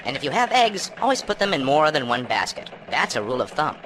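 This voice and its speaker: An actor playing a melodramatic chicken news anchor in a game cutscene, with earnest mock-serious delivery. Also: male voice, on the high side, big pitch swings, fast talker, a bit bright, a little hiss or hum. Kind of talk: parody newscast delivery. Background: music.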